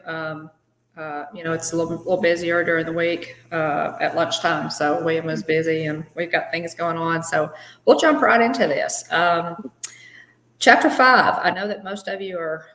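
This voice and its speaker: A woman with a low, deep voice hosts a casual live stream. She talks chattily, greets viewers by name and pads her sentences with fillers. The sound rings a little in a roomy space. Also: steady tone, moderate pace, fairly bright tone, a little hiss or hum. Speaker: co-host of a live fan show.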